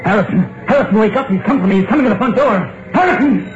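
A terrified husband sure a killer waits outside, speaking in a restless, feverish monologue that swings widely up and down. The old broadcast sounds muffled, with music under it. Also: male voice, high voice, lively intonation, racing. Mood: panicked. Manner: nervous, feverish inner monologue. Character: frightened husband convinced a hired killer stalks him. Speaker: radio drama lead. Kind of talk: 1950s radio drama acting. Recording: dull, hissy old radio broadcast with music.

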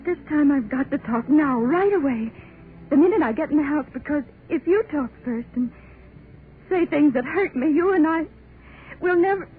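A woman's voice: high voice, expressive, moderate pace, phone-quality sound, a little hiss or hum. A woman playing a timid, anxious young wife in 1930s radio drama, pleading and hesitant, on a thin old broadcast. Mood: desperate. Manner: hesitant, pleading, breathlessly emotional. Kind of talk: 1930s radio drama acting, interior monologue.

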